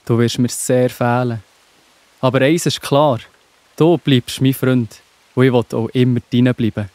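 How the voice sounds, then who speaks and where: A man's voice: medium pitch, fairly even intonation, measured pace, darkish tone, no room sound, natural voice. A man with a Swiss German accent playing a devout, faithful young friend in a children's Bible audio drama, speaking with heartfelt earnestness. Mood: earnest, grateful.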